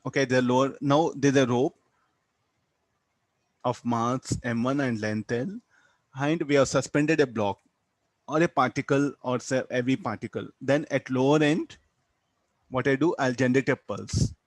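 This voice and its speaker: Indian guy teaching physics class, mid pitch, asks questions then answers them, pretty dry recording. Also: measured pace, pauses often, no room sound. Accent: Indian English. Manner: didactic, asks and answers own questions, tags statements with "no?". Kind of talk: classroom physics lecture, working through a problem.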